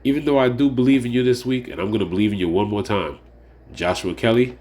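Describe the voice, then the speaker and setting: A man's voice: medium pitch, fast, darkish tone. A man hosting a casual solo fantasy sports preview. He sounds nasal and a bit under the weather, and he rambles with lots of fillers and repeated phrases. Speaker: fantasy sports show host.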